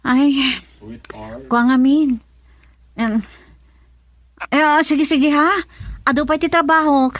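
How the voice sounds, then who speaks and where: A busy, reluctant Ilocano woman. Her voice is high, with an Ilocano accent and the conversational delivery of a serial drama. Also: fairly even intonation, slow pace, pauses often, fairly bright tone, slight background noise. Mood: apologetic. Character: busy, reluctant female friend working abroad. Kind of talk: Ilocano radio drama acting.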